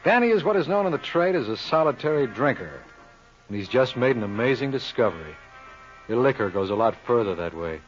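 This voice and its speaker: An American actor playing a breezy, self-assured lawyer who narrates a 1950s radio mystery in a wry manner. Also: male voice, mid-range voice, big pitch swings, fairly quick, natural voice. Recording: dull old radio recording. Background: music.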